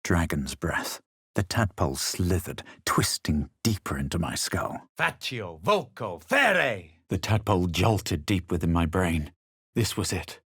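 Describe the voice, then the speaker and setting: A low, husky, haughty fantasy hero. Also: male voice, sing-song, fairly bright tone, no echo, studio quality, exaggerated voice. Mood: commanding, incantatory. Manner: measured, dramatic, superior. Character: self-assured, haughty male fantasy adventurer hero. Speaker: video game voice actor. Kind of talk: scripted game character lines, first-person narration and spell incantations.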